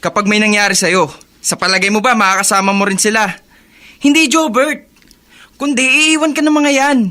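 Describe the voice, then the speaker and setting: A man's voice: high-pitched, steady tone, normal speed, sharp tone. A Filipino man playing a responsible, earnest, lecturing older brother in a radio drama. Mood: stern, lecturing.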